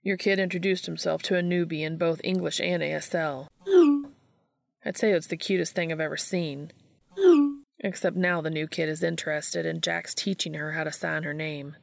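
Lady narrator doing a casual teasing dude in an audiobook, wry and fond, creaky, dry sound. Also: low voice, flat delivery, moderate pace, no echo. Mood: proud, amused. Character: wry, affectionate man texting family and an old friend.

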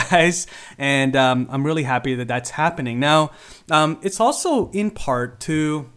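A man's voice: mid-range voice, fairly bright tone. A man in his forties who grew up in the Philippines, talking casually to camera with enthusiasm and lots of fillers and restarts. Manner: enthusiastic, proud. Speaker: content creator.

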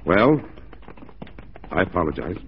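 An actor playing a proud, upright mounted police officer with a firm, plain-spoken manner in a 1940s radio drama. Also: male voice, sits low, sing-song, unhurried, exaggerated voice. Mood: contrite, gracious. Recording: muffled, noisy 1940s radio recording.

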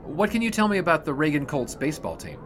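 An actor playing a courteous but persistent sportswriter in a period audio drama, measured and probing. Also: male voice, on the high side, wildly swooping pitch, a bit bright, slight background noise. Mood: probing, polite. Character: polite but persistent young male 1920s sportswriter.

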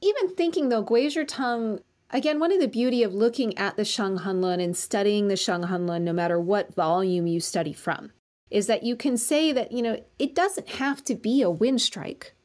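A woman's voice: medium pitch, expressive, moderate pace, darkish tone. A woman acupuncturist who practices in Colorado, a guest speaking earnestly in long run-on stretches in a casual professional conversation.

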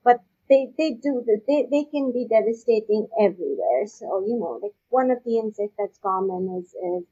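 A woman from Pakistan with a husky voice, answering interview questions conversationally in a halting way, repeating words as she goes. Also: mid-range voice, animated, mellow. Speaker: guest, agricultural research scientist. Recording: dull, lo-fi audio.

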